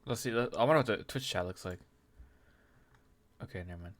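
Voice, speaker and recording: A man who is sick and sounds a bit nasal, casually breaking down a game replay as a video host, often clearing his throat. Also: fairly low pitch, brisk. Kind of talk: casual game analysis commentary.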